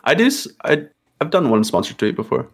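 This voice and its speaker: A man's voice: medium pitch, sing-song, fairly quick, vocal fry, breathy edge. A man in his early twenties chatting casually as a podcast guest, laid-back and full of slang. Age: 20s. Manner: laid-back slangy banter, says bro a lot. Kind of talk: casual chat.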